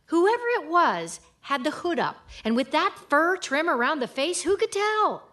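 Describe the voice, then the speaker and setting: An actress playing an observant, shrewd woman investigator in a radio mystery, brisk and knowing. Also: high-pitched, big pitch swings, fairly bright tone. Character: observant, shrewd female detective's partner with keen memory. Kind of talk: radio mystery drama acting.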